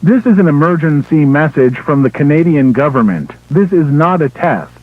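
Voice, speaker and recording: A man playing an official emergency broadcast announcer, reading a scripted alert in a formal, measured, impersonal way through a radio filter. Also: on the high side, lively intonation, no echo. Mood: grave, urgent warning. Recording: tinny, band-limited emergency radio broadcast.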